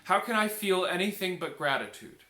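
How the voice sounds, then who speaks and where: A man's voice: sits high, expressive, moderate pace, pushed and bright, voice pushed up. A man voicing a humble, grateful royal messenger in a storybook, earnest and deferential. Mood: earnest gratitude. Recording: echoey room.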